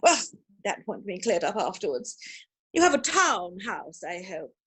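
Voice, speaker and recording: A woman playing an imperious, snobbish older aristocratic mother in a theatrical comedy reading, speaking with pompous, deliberate emphasis. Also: medium pitch, very animated, breathy edge. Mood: disapproving. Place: virtual performance from home in isolation.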